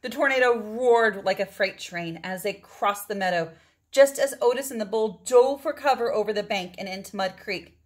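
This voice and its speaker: A middle-aged woman with a mid-pitched voice reads a children's picture book aloud. Her delivery is expressive, and she stretches key words for drama. She is recorded indoors, a short distance from the mic. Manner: dramatic phrasing with drawn-out words for emphasis. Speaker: storybook reader.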